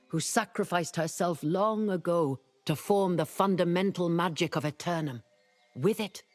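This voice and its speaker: An actor playing a grave, secretive guardian of ancient magic in a fantasy game, with a husky, solemn and measured delivery. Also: male voice, on the high side. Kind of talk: dramatic fantasy game acting.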